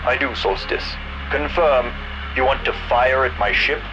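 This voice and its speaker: An actor playing a terse warship captain in a sci-fi audio drama, speaking in clipped military phrases. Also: male voice, mid-range voice, level tone, a little slow, muffled recording, close to an everyday voice. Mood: grave, wary. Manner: clipped, military, matter-of-fact. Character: terse male warship captain of a damaged ship, steady under pressure. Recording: loud music behind.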